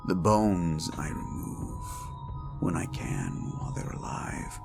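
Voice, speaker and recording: A big cruel slasher villain, super slow n creepy, swings from growl to whisper, horror audio w music behind. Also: male voice, sits low, big pitch swings, vocal fry, heavily acted. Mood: savouring, sinister. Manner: slow, menacing, theatrical, savouring pauses, drops into whispers. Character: sadistic hulking male slasher killer, gloating and patient. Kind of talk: horror roleplay audio monologue.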